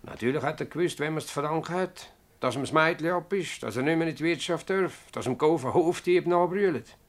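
A man's voice: on the high side, close to an everyday voice. A man acting an agitated, evasive village mayor in a radio play, hedging and emphatic, with a Swiss German accent. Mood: agitated, defensive. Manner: hedging, emphatic, halting when pressed. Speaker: actor in a dialect radio play. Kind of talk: dialect radio drama acting.

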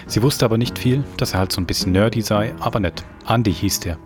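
Deep-voiced man hosting a podcast. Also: fast talker. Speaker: podcast host. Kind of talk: podcast presenting, reading aloud a listener's letter. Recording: music underneath.

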